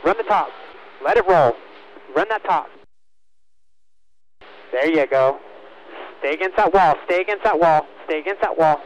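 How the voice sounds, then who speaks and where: A man with a fairly high voice talks over a race team radio with faint noise. He works as a spotter, guiding a driver in short, clipped phrases that he often repeats. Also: a little slow, pauses often, highs cut off.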